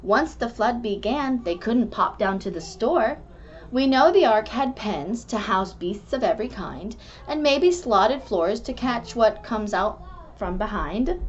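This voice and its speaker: A woman reading a rhyming children's book aloud in an expressive, measured storytelling manner. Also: fairly high pitch. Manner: animated, measured storytelling delivery. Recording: dull lo-fi recording with background noise.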